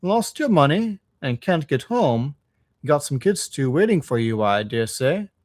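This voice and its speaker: A man's voice: a little high, very animated, measured pace, darkish tone, no room sound, studio quality. Burly working man voiced by a male reader, storybook engine driver, folksy, kindly, reflective, good-natured. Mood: reflective sympathy.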